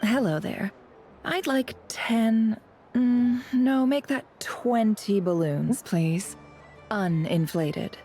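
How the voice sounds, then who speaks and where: A woman playing a shrewd, protective boss in a video game, poised and deliberate, with a politely threatening, teasing manner. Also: on the high side, warm tone. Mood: poised menace. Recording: game audio with background music.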